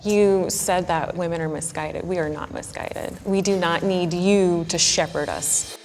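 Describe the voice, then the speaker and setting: A woman in her thirties makes her points directly and pointedly. Her voice sits a little low, with faint music behind. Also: fairly even intonation, measured pace, a little mellow. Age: 30s. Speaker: debate participant. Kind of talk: casual debate.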